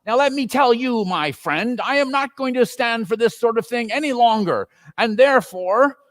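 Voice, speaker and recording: A man's voice: very high voice, expressive, normal speed, no echo, studio quality, pitched above the performer's own voice. A man playing an elderly reformed miser, exuberant and exclamatory, in a theatrical reading of a Victorian story. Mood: mock gruff. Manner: exclamatory, exuberant, chuckling old gentleman. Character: elderly miser, newly reformed, giddy with joy.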